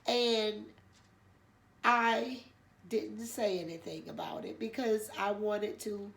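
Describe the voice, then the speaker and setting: An older woman speaks slowly and rambles through a casual chat, full of pauses and fillers. Her voice is congested and nasal, with a gravelly fry underneath. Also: medium pitch, fairly even intonation. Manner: slow, rambling with many fillers. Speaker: storytelling channel host.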